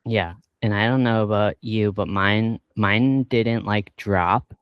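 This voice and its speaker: A man with a low, flat, smooth voice hosts a casual interview. He talks in a relaxed way and fills his sentences with likes. The recording is close and dry. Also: slow, dry recording, muffled recording. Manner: relaxed, filler-heavy, many likes. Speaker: interview host.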